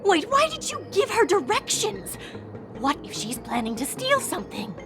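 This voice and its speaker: A woman's voice: very high-pitched, huge pitch swings, moderate pace, vocal fry, exaggerated voice. An actress voicing a suspicious young townswoman who asks pointed questions in a game cutscene. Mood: wary. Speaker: game character. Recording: game audio over loud music.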